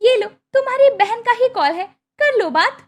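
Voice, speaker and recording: A woman's voice: very high-pitched, expressive, normal speed, pitched above the performer's own voice. A woman voicing a jealous, resentful young sister-in-law in a cartoon story, curt and scolding. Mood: curt, annoyed. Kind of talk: Hindi cartoon moral story acting.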